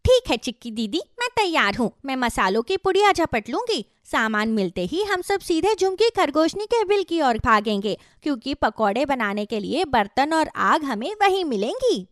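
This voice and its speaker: Squeaky, extremely high little squirrel voiced by a woman. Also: sing-song, normal speed, hardly any pauses, no echo, studio quality. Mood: eager. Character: timid but eager young female squirrel sidekick. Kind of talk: Hindi children's cartoon voice acting.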